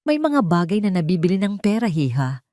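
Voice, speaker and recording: A woman voicing a cold, imperious elderly rich matriarch who threatens calmly in an audio drama. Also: fairly low pitch, fairly quick, dry recording, clean studio sound. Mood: smug. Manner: commanding, unflinching.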